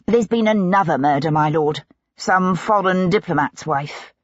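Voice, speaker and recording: A woman's voice: on the low side, a little slow, lo-fi sound. A woman reading an audiobook, voicing Collins, a startled, deferential young male clerk. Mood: anxious.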